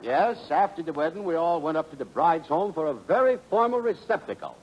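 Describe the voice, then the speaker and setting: An actor playing a pompous, dim crony in 1940s radio comedy, speaking in elaborate, mangled big words. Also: male voice, on the high side, very animated, a little fast, dull audio. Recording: faintly noisy vintage radio recording.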